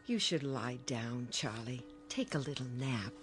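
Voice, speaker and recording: A woman's voice: rumbling low, lively intonation, measured pace. An actress playing a fussing, smothering middle-aged mother in a radio drama, fretful, coaxing and insistent. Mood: concerned, coaxing. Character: fussing, smothering, anxious mother of grown children. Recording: music bed under the dialogue.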